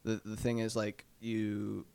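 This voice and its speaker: A man's voice: fairly low pitch, completely flat delivery, a little slow, vocal fry, warm tone, smooth, no room sound. A young man from New Hampshire, a guest photographer, talking casually on a podcast with many fillers and restarts. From Manchester, New Hampshire.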